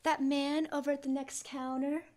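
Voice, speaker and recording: A woman's voice: high-pitched, level tone, normal speed, a little mellow, close to an everyday voice. A young woman playing a polite, helpful shop clerk in an old-time radio comedy. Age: teens to young adult. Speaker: student actor. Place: school library, indoors, live stage reading.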